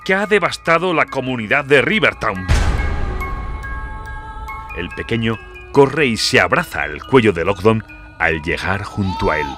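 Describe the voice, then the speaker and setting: Low-voiced, slow male horror narrator. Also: very animated. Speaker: audiobook narrator. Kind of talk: dramatic scripted horror narration. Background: music.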